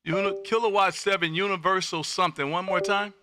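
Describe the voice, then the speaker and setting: A man's voice: sits high, fairly even intonation, a little fast, heavy background noise. An American man hosting a live ham radio stream, folksy and exuberant, repeating his phrases as he chats on air. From Texas, United States. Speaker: amateur radio operator and livestream host.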